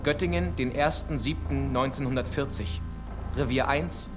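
An actor playing an officious Nazi-era official, formally reading out letters in a documentary radio play. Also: male voice, medium pitch, normal speed, over music, close to an everyday voice. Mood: official, commanding.

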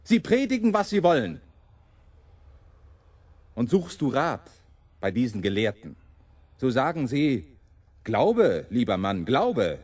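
Fiery rebel preacher in a radio drama, swoops up and down, bitter oratory, dry close sound. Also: male voice, medium pitch, wildly swooping pitch, slow, long pauses, a little mellow, breathy edge, dry recording, heavily acted. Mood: impassioned, bitter. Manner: oratorical, mocking the powerful. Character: impassioned male rebel preacher, champion of the common people. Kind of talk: German radio play monologue.

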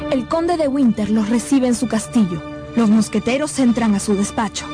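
A woman's voice: a little high, normal speed, music in the background. A woman delivering scripted, dramatic narration for a radio play.

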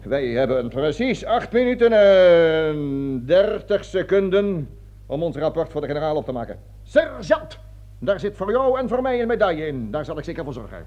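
High-voiced, excitable pompous army colonel. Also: male voice, moderate pace. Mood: grave, agitated. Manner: oratorical, grandiloquent, swinging from barked commands to hushed asides. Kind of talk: radio play acting. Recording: dull, lo-fi radio play recording.

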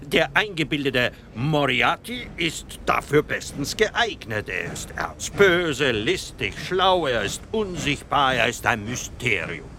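An urbane Viennese psychoanalyst in a radio play, speaking in a slow, highly expressive voice with a bright edge. He lectures with smug assurance and tags his points with rhetorical questions. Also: a little hiss or hum, heavily acted. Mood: lecturing, condescending. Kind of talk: German radio drama acting.

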